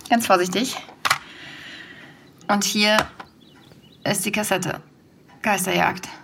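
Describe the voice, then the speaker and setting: An actress playing an excitable young woman who hosts a podcast in an audio drama, sometimes dropping to a whisper. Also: mid-range voice, slow, creaky, noisy background. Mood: excited. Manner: excitable, whispering when tense. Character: curious young woman podcaster hunting a lost tape. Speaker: podcast host in a fiction podcast.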